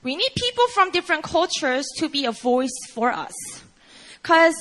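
A woman's voice: high voice, very animated, moderate pace, fairly bright tone. A Korean woman whose first language is Korean, preaching a sermon in English with a Korean accent, in a conversational way full of "you know" fillers. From South Korea.

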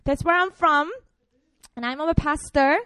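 A woman's voice: high voice, measured pace, pushed and bright, no room sound, studio quality. A woman in her twenties from Korea, a pastor preaching a casual, playful sermon to students. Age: 20s. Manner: chatty and playful, frequent fillers.

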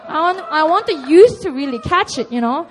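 A young woman with a Korean accent speaks from a church platform in a high, light voice. Her talk is earnest and personal, full of small laughs and "you know". English is her second language. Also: expressive, normal speed, poor audio. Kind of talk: testimony in a church service. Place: church hall.

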